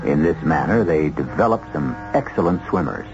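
A man's voice: very deep voice, lively intonation, normal speed. A man hosting a 1970s radio drama with wry, theatrical scripted narration. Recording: muffled 1970s radio broadcast, music behind.

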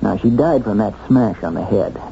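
A wry small-town newspaperman in an old radio drama. He is very dark and soft in tone with a heavy creak, asking casual, probing questions. The recording is dull with faint noise. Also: on the low side, animated, normal speed. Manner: casual, hesitant lead-ins, probing questions. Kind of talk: 1970s radio drama acting.

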